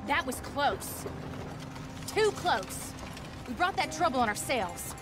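A woman's voice: high voice, very animated, slow, music in the background, heavily acted. An actress playing a firm, plain-spoken frontier wife and mother with an American accent in a western game, direct and folksy. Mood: reproachful, pleading. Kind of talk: cinematic western game dialogue.

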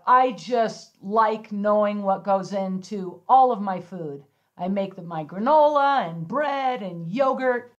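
A middle-aged woman giving an energetic, upbeat home-video ski lesson in her kitchen, demonstrating as she talks. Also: medium pitch, slow pace, dull audio. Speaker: ski instructor. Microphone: far camera. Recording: echoey kitchen from far off.

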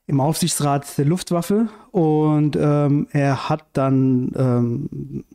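Podcast guest, thirties man, halting delivery, soft dark tone, boom mic in a living room. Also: fairly even intonation, creaky. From Iran. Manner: hesitant, many fillers and restarts. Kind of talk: casual interview chat. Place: bright living room with large windows. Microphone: studio mic on boom arm, headphones.

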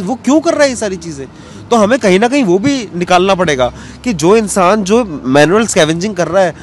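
A man, giving an earnest, reflective interview answer mixed with English words. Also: sits high. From western Uttar Pradesh, India. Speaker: interview guest, cartoonist. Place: outdoor bench in a public square. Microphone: handheld interview mic held toward him. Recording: outdoor street ambience with background noise.

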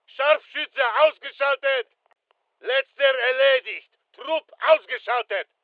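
A man's voice: very high voice, no room sound, phone-quality sound, exaggerated voice. An actor playing a terse, disciplined soldier who reports to his squad in short clipped phrases in a video game. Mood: terse, focused. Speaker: military game character. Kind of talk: video game combat callouts.

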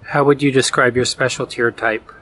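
A man's voice: mid-range voice, steady tone, brisk, fairly bright tone, poor audio. A man interviewing from off camera, asking brief, direct questions.

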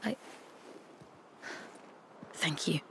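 Worried searcher, bright tense tone, slow halting lines, game voice. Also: female voice, a little hiss or hum. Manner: anxious, halting. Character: worried adult woman searching for a friend.

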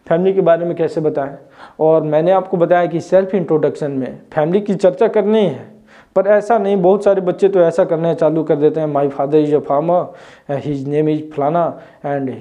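A man's voice: on the high side, warm tone, lo-fi sound. A man, teaching English at a whiteboard, recorded on a lapel mic in an indoor room, often asking viewers questions as he explains. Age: 20s. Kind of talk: whiteboard lesson.

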